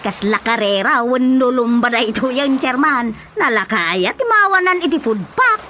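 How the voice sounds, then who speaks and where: Very high, shrill village woman, Ilocano accent, insistent comic radio drama, noisy ambience. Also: expressive, moderate pace, sharp tone. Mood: complaining. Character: nagging, excitable village woman seeking food aid.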